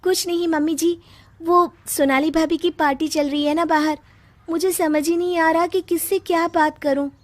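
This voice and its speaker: A woman voicing a meek, gentle young daughter-in-law in a cartoon story, timid and respectful. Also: extremely high pitch, steady tone, moderate pace, pitched above the performer's own voice. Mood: sad, timid.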